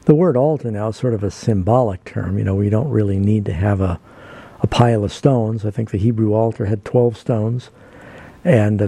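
Gravelly, dark-voiced radio pastor. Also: male voice, on the low side, no room sound. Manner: relaxed, conversational, frequent fillers. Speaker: radio Bible show host pastor. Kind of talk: call-in radio answers.

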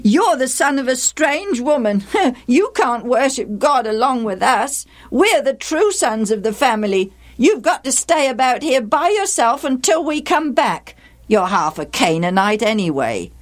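A woman's voice: extremely high pitch, big pitch swings, a little slow, fairly bright tone, voice pushed up, full character voice. A woman voicing spiteful young brothers in a story, whining and taunting. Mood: jeering. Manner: whiny, taunting. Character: spiteful, whining boys, jeering at their half-brother.

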